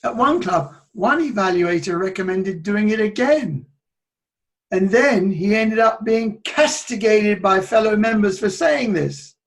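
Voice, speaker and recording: A man giving a motivational talk on public speaking, moving between loud and soft delivery as he tells stories. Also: high voice, lively intonation, unhurried. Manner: shifts between loud and soft, playful with his voice.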